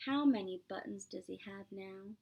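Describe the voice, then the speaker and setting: A woman preschool teacher reading aloud to young children, expressive and playful, explaining simply and asking questions as she goes. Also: medium pitch, creaky, slightly rough. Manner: expressive, drawn-out, playful exclamations.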